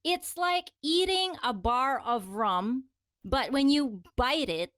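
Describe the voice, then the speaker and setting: A woman from the Philippines chats casually as a podcast co-host. Her voice is somewhat high, and she explains things conversationally, doubling back on words. The recording is dry and free of room sound. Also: lively intonation, takes it slowly, fairly bright tone, no echo. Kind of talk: casual chat.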